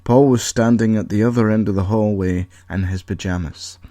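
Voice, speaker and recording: A man's voice: lowish voice, steady tone, moderate pace, warm tone, dry recording, clean studio sound. A man reading a scripted story as narrator, with a wry, tongue-in-cheek manner. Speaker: author and narrator.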